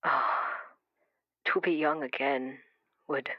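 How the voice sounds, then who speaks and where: A woman playing a very old, weary, haunted librarian in an improvised audio drama, speaking haltingly and gently with long pauses and a breathy voice that often sinks to a whisper. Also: very deep voice, fairly even intonation, no room sound, dull audio, heavily acted. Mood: wistful. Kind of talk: improvised audio drama, caller to a radio show.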